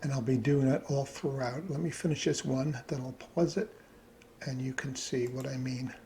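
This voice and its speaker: A man with a gravelly, creaky voice talks through a casual screen-recorded tutorial. He thinks aloud as he works. There is light background noise with some keyboard clicking behind him. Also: medium pitch, steady tone, normal speed, mellow. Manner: thinks aloud while working at computer. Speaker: hobbyist presenter.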